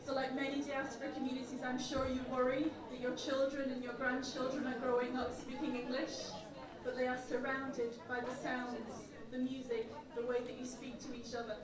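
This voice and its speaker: A woman's voice: high voice, flat delivery, moderate pace. A woman giving a public speech at a community event, telling stories earnestly with frequent ums and uhs. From Ireland. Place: large echoey event hall. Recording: echoey hall from far off with crowd.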